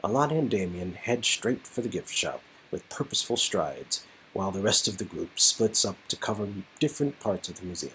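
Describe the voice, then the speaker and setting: A man's voice: fairly low pitch, big pitch swings, fairly quick, few pauses, fairly bright tone, slight background noise, poor audio. A man reading an interactive story game aloud as narrator, with casual joking asides.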